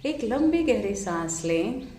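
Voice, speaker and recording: A woman leading a guided meditation, giving soothing, measured instructions with drawn-out pauses between phrases. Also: on the high side, sing-song, dark tone, roomy sound.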